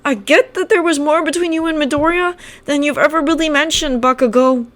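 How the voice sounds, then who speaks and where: A narrator voicing a friendly teenage boy who tries to calm a fight and pleads with the others. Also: very high-pitched, fairly quick, pitched above the performer's own voice. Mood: pleading. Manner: pleading, conciliatory.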